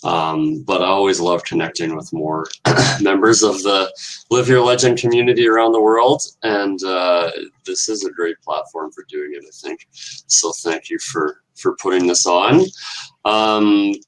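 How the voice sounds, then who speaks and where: A man from Canada speaking casually as a meetup participant, introducing himself and sharing advice in a measured way with pauses. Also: sits low, rough recording. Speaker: meetup participant, aspiring coach.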